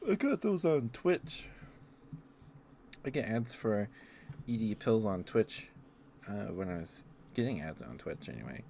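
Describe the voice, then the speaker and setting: Deep-voiced man rambling on an ASMR stream. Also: sing-song, measured pace, long pauses. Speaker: livestreamer. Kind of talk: casual rambling ASMR livestream chat. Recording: clear background noise.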